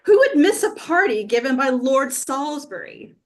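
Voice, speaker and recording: A woman's voice: high-pitched, sing-song, moderate pace. A woman voicing a refined, formal English noblewoman in a comedy sketch reading. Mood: genteel.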